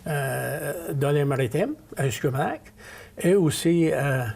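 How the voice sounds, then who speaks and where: A man's voice: a little high, takes it slowly, gravelly, darkish tone, husky. A middle-aged man, answering interview questions with many hesitations. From New Brunswick, Canada. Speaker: guest, city councillor.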